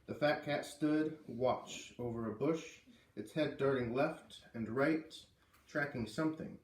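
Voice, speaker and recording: A man reading a children's novel aloud as an expressive storyteller. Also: medium pitch, unhurried, a little hiss or hum. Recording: roomy echo, distant from mic.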